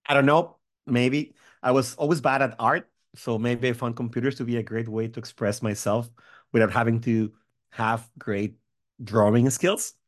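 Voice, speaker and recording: A man's voice: medium pitch, flat delivery, normal speed. A man, chatting as a guest, friendly and rambling. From Mexico. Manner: friendly, rambling, many fillers and restarts. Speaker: guest, tech company founder. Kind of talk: interview chat.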